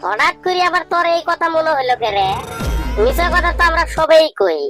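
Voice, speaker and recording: A man voicing a skeptical, needling young village friend in a comedy cartoon, with a rural Bangladeshi accent and pitched-up voice. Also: sing-song, fairly quick, fairly bright tone, soft background music, exaggerated voice. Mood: curious.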